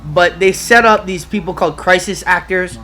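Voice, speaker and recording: A man from Hawaii talking in a casual podcast chat, excitable and profane, rambling from one point to the next. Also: high-pitched, normal speed, faint music behind. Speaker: podcast guest.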